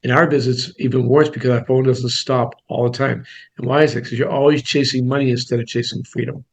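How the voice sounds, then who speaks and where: A man's voice: mid-range voice, little pitch movement, fast. A man in his sixties, a real estate coach, giving a blunt, conversational webinar talk with self-deprecating asides. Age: 60s. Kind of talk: webinar presentation.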